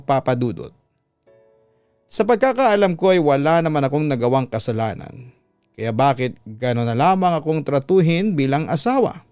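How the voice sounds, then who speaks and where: Smooth Filipino male storyteller, slightly high voice. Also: darkish tone, no echo. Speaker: radio announcer reading listener letters. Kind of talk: dramatic scripted story narration. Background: background music.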